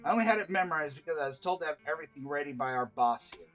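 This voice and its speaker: A man's voice: a little high, lively intonation, racing, a bit bright, soft background music. A man voicing a stoic elf archer who grumbles curtly and sounds fed up with everyone. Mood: exasperated. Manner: grumbling, curt, exasperated.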